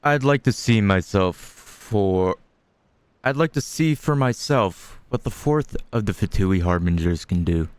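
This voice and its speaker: A man's voice: on the low side, expressive, measured pace, clear, resonant tone, long reverb tail. A man playing through a video game, reading dialogue aloud and commenting casually. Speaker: gaming video creator.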